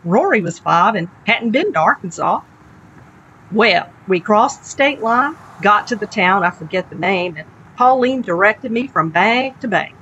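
A woman's voice: mid-range voice, animated, measured pace, a bit bright, pitched above the performer's own voice. A woman reading a story, playing a good-humoured, folksy female cab driver telling an anecdote. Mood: chatty. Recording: dull lo-fi audio, background noise.

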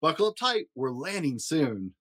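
A man hosting a story podcast, reading a rhyming children's picture book aloud in an expressive, rhythmic way. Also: on the high side, normal speed, slightly rough. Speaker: podcast host and story reader.

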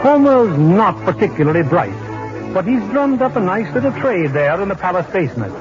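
A man's voice: high voice, sing-song, normal speed. A man hosting and narrating a 1940s radio show in a formal, showmanlike scripted style. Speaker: producer and host narrator. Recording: muffled 1940s radio broadcast with music.

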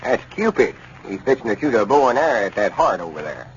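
An actor playing a proud, touchy older country storekeeper with a folksy rural Arkansas drawl in a 1930s radio comedy. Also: male voice, mid-range voice, big pitch swings, fast talker, close to an everyday voice. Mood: defensive. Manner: folksy, self-important drawl. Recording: muffled, noisy 1930s radio recording.

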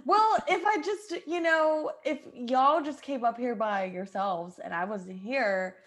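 A woman's voice: on the high side, slow, a bit bright. A woman improvising an indignant, sarcastic astronaut on Mars in a comedy radio sketch.

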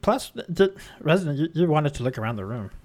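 A man's voice: fairly high pitch, very animated, quick pace, darkish tone. A man voicing a small, whiny, childlike male adventurer who stammers and hesitates. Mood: hesitant.

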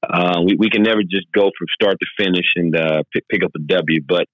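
A man co-hosting a casual sports podcast, hoarse and raspy from yelling, talking in an animated, emphatic way. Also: deep voice, brisk, no echo, dull audio. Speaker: podcast co-host.

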